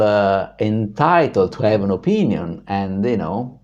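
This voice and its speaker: A man with a deep baritone voice tells a personal story as a vlog host, reflective and full of pauses and fillers. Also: darkish tone.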